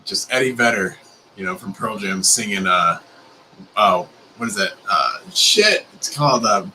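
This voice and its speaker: A man hosting a casual video call interview, playful and joking, quick to laugh. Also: lowish voice, expressive, bright, forceful tone, a little hiss or hum, poor audio. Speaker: host. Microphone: video call mic.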